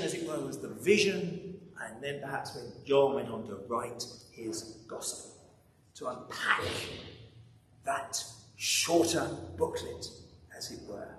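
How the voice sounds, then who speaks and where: Slow older preacher in echoing church. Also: male voice, medium pitch, a bit bright. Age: 60s or older. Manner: emphatic, dramatic pauses, rhetorical repetition. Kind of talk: sermon. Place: large church interior at a lectern. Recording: echoey church, distant mic, background noise.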